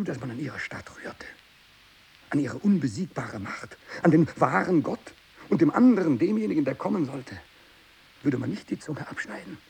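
An actor playing a tormented, fanatical renegade missionary who worships evil, in a feverish radio drama monologue from the 1960s. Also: male voice, gravelly, slightly rough, exaggerated voice. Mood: hushed, bitter fervour. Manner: feverish, swinging from hushed whispering to shrill ecstatic cries. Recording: hissy 1960s radio recording.

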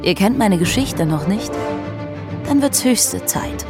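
A woman's voice: medium pitch, big pitch swings, takes it slowly. An actress playing a proud, swaggering, scornful woman pirate in an audio drama trailer. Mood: defiant, proud. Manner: bold, scornful. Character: defiant, proud, swaggering female Caribbean pirate. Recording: music bed under the voice.

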